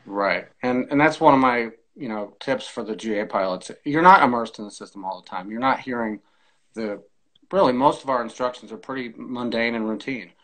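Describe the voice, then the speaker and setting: A man in his forties speaking as an interview guest in a measured, explanatory way, giving practical advice on a video call. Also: mid-range voice, fairly bright tone, poor audio. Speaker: air traffic controller, podcast guest. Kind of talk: conversational interview, explaining tips. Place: small indoor room, video call.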